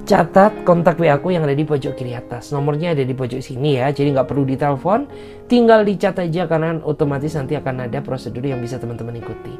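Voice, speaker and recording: A woman with an Indonesian accent and a low, warm, relaxed voice gives a casual tarot reading full of fillers. She often speaks the other person's thoughts in the first person. Soft guitar music plays quietly behind her. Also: fast talker. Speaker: tarot reader.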